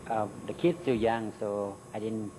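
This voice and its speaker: A middle-aged man with a Thai accent, Thai his first language, answering interview questions in halting English with many fillers. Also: on the low side, dark tone, slight background noise, poor audio. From Thailand. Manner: halting, many "uh" and "you know" fillers, laughs between answers. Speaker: interview guest. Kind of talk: recorded interview.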